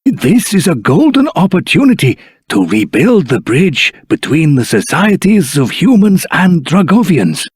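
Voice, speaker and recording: Formal old dragon clan elder in fantasy game, really raspy, voice swings up and down. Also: male voice, fairly high pitch, big pitch swings, no room sound, studio quality, full character voice. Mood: earnest pleading. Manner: courteous, formal, earnest, deliberate. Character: dignified elder of a dragon race in human form. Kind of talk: theatrical fantasy video game voice acting.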